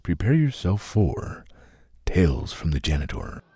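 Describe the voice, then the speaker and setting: A man's voice: deep voice, big pitch swings, unhurried, warm tone, exaggerated voice. A man playing an ominous horror anthology announcer, delivering a theatrical scripted introduction. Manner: portentous, theatrical. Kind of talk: dramatic scripted intro narration.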